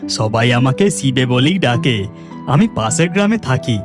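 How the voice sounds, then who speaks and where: A man's voice: mid-range voice, expressive, measured pace, music in the background, close to an everyday voice. A man voicing a humble, kindly village boatman in a cartoon, friendly and reassuring in manner.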